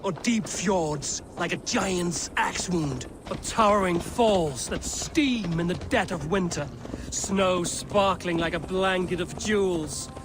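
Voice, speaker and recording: Wry Viking warrior, slightly high voice. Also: male voice, noisy background. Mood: wry, proud. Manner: measured, poetic, dryly teasing. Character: steady, wry adult Viking warrior, poetic and commanding. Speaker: video game character actor. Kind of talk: dramatic video game acting. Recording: music behind the voice.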